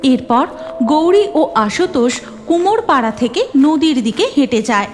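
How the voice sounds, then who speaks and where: High-pitched woman narrating a story. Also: lively intonation, measured pace, faint music behind. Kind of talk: scripted cartoon story narration.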